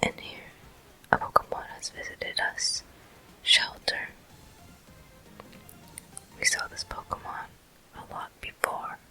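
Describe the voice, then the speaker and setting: A person whispering ASMR gameplay commentary as the host of a walkthrough, in a breathy, unvoiced, gentle and deliberate way. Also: soft background music, poor audio. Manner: slow, gentle, deliberate delivery.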